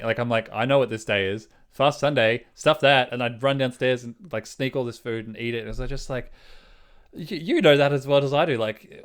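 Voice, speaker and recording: Young male co-host, average pitch, relaxed conversational storytelling, blunt and slangy with exaggerated phrasing. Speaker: podcast co-host. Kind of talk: casual chat, storytelling.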